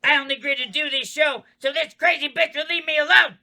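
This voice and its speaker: An actor playing a furious murderous clown at a table read, ranting in anger. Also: male voice, extremely high pitch, steady tone, quick pace, sharp tone, full character voice. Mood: sneering. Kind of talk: table read of a comedy horror script.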